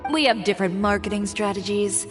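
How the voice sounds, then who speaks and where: Cool young designer played by a woman, mid-pitched, dry and self-assured, game dialogue with clear music behind. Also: fairly quick, natural voice. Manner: composed, matter-of-fact, dry. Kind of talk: video game voice acting.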